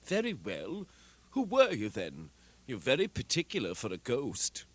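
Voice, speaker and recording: A man voicing an old miser who scoffs at a ghost and then pleads with it, in an animated dramatic reading. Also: mid-range voice, measured pace, slightly rough, no echo, heavily acted. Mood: scoffing, wary. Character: old male miser, frightened and doubting. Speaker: narrator and lead actor. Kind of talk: dramatic reading of a classic tale.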